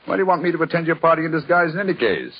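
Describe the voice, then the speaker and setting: Casting: courteous English gentleman sleuth, theatrical and highly animated, on dull lo-fi old-time radio. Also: very fast, breathy edge, heavily acted. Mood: amused, teasing. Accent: British. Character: brisk, courteous male English gentleman detective. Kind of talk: 1940s radio drama acting. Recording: dull, lo-fi 1940s radio broadcast.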